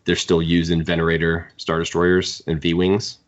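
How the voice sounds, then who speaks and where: A man hosting a podcast, casually discussing books in a halting, opinionated fan's way. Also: deep voice, monotone. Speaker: podcast host. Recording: dull lo-fi podcast audio.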